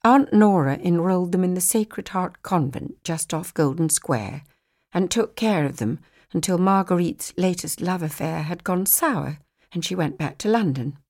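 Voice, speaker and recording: Low-pitched woman narrating a novel. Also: expressive, normal speed, a little mellow. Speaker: audiobook narrator.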